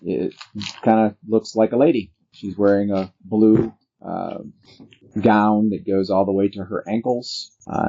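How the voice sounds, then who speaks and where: A man's voice: deep voice, gravelly, a little mellow, husky. A man casually narrating a tabletop roleplaying game as the game master. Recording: dull lo-fi sound.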